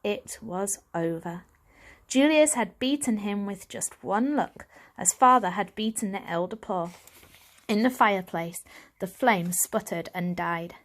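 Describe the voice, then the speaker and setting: A woman's voice: mid-range voice, a little slow. A woman reading a children's story aloud as scripted audiobook narration. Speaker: audiobook reader.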